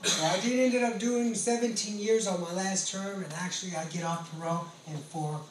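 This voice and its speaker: A man's voice: high-pitched, fairly even intonation, normal speed. American man preaching in an echoey space. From California, United States. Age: late 40s. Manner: earnest, emphatic, repeats phrases. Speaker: pastor and ex-convict preacher. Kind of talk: testimony sermon. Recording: roomy echo, distant mic, background noise.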